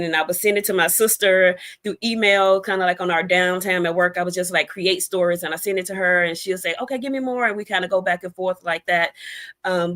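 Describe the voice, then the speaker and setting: A woman's voice: on the low side, fairly bright tone. A middle-aged American woman talking casually as an interview guest, in a chatty, storytelling way with conversational asides. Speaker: guest, debut novelist.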